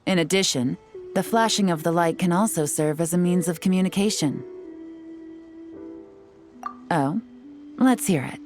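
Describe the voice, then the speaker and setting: A shrewd female intelligence agent from a video game, acted by a woman, with a low, composed voice. She explains things with cool assurance, and music plays behind her. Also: unhurried, pauses often, darkish tone, close to an everyday voice. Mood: assured, businesslike.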